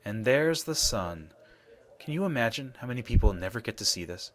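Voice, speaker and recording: A man's voice: mid-range voice, very animated, a little fast, natural voice. An actor playing a serious man in a space adventure video game, delivering scripted dialogue. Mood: wistful.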